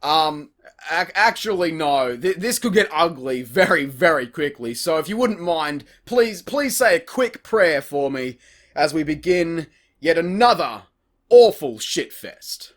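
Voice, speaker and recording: Sarcastic, animated man reviewing cartoons. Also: fairly high pitch, a little slow. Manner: sarcastic, profane, exasperated. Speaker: video reviewer. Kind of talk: comedic review narration.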